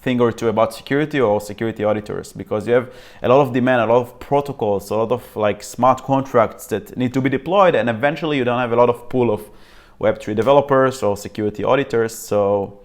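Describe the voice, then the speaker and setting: A man's voice: mid-range voice, quick pace. A man hosting an interview, speaking in a casual, enthusiastic, rambling way. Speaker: interview host.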